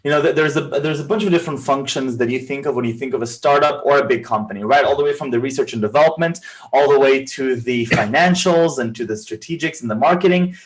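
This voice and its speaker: A man's voice: mid-range voice, fast talker, poor audio. A man hosting an interview over a video call, enthusiastic and often restarting his words as he asks questions. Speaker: podcast host. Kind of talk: casual interview talk.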